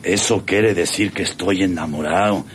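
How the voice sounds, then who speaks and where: Man acting proud, menacing Mexican landowner, low voice. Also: normal speed, a little hiss or hum, natural voice. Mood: lovestruck, boastful. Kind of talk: radio drama acting.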